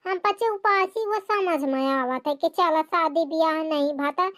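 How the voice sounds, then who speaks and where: A woman's voice: very high-pitched, unhurried, a bit bright. A woman with a Bagheli accent voicing a cheeky, incredulous young unmarried village woman who teases in a comic cartoon. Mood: curious.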